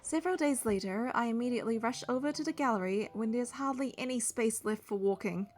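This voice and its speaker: A young adult woman reading game narration and dialogue aloud in an expressive storytelling style. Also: a little high, fairly quick, soft background music.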